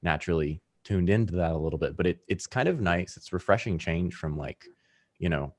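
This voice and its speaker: A man with a low voice, hosting a casual chat and explaining at length with many fillers and laughs. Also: fairly quick, a little mellow.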